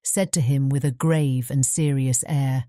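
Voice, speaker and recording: Storybook narrator: British woman, deep mellow voice, dry close recording. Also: steady tone, measured pace, dry recording. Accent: British English. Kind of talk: scripted fairy-tale narration.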